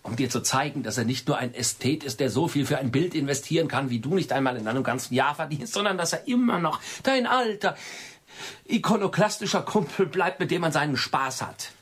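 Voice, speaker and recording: A man's voice: fairly high pitch, expressive, a little fast, a bit bright, breathy edge. A man acting a sceptical friend in a radio play, questioning insistently and arguing over every word. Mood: argumentative. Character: probing, sceptical male friend who nitpicks every word.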